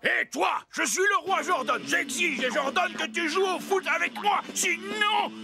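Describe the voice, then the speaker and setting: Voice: very high-pitched, unhurried, creaky, over music, exaggerated voice. An actor playing a bossy, bratty boy king in a children's cartoon, haughty and whiny as he gives orders. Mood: imperious, indignant. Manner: imperious, whiny, shouting orders. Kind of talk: animated children's cartoon dialogue.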